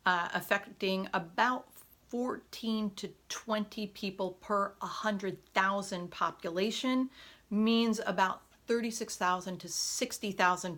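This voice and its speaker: A woman chatting in an unscripted home vlog, often pausing with fillers and correcting herself, her voice hoarse at times. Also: mid-range voice, fairly bright tone. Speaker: vlogger. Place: home room. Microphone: camera on a tripod.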